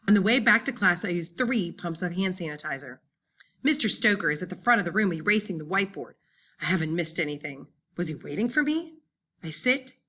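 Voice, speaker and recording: Casting: female audiobook reader, forward bright tone, slightly low register, dramatic first-person delivery for young readers' fiction. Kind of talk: expressive first-person children's novel narration.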